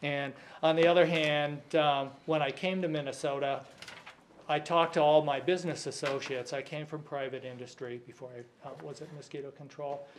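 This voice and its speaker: A fairly high-voiced man addresses a county board as the director of a local agency, pausing often on fillers and slipping in a joke now and then. Faint noise sits under his voice. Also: fairly even intonation. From Southern California. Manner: hesitant with frequent fillers, light joking. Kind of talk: formal public meeting remarks.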